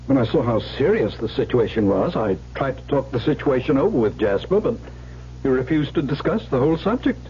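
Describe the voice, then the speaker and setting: An actor playing a proper, fussy older family lawyer in a radio drama, formal and cautious. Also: male voice, mid-range voice, very animated, brisk, a little mellow, natural voice. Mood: puzzled. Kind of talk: 1970s radio drama acting. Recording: dull old radio recording, faint hum.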